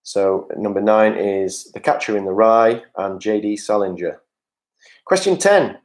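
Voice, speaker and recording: A man's voice: on the low side, lively intonation, unhurried, darkish tone. Quiz host: man, jokey and chatty, reading questions and answers over a video call. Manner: jokey, chatty asides to players, reads questions aloud.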